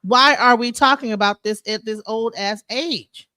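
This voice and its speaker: A woman in her forties talking bluntly and profanely in a loud, mocking way during a casual discussion. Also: a little high, measured pace, no room sound, clean studio sound. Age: 40s.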